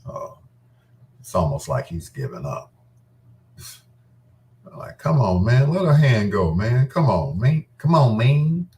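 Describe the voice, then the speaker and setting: A man's voice: fairly low pitch, very animated, measured pace, long pauses, a little mellow, far from the mic. A middle-aged man reminiscing casually in a rambling, candid and playful way, as the host of his own show. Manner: informal, candid, playful storytelling with self-quoting.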